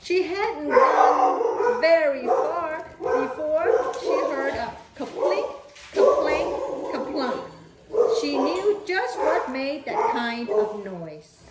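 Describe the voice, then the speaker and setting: A woman reading and talking to children as a storyteller, with deliberate, emphatic phrasing. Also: high-pitched, big pitch swings, heavy background noise. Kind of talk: children's read-aloud storytelling. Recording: echoey, roomy sound.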